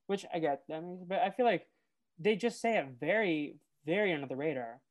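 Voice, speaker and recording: A woman with a nasal voice, a little lower than most, co-hosts a podcast. She talks in loose, chatty runs, laughing and trailing off mid-thought. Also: fairly quick. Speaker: podcast co-host. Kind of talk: casual chat.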